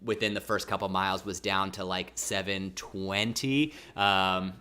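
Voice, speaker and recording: A man's voice: low voice, steady tone, a little slow, sharp tone, slight background noise. A man hosting a casual livestream, chatting in a rambling, self-correcting way while getting over a mild cold with a slightly sore throat. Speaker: livestream host. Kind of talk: casual livestream chat with viewers.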